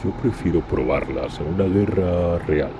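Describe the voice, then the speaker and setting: A man's voice: sits low, measured pace, noisy background. A man playing a proud, defiant soldier, a hardened warsmith in an audio drama, curt and cocky in his delivery.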